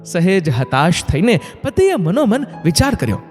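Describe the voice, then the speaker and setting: A man narrating a scripted moral story in an expressive, dramatic way. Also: high-pitched, moderate pace. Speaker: narrator of motivational stories. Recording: long hall reverb, music bed. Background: background music.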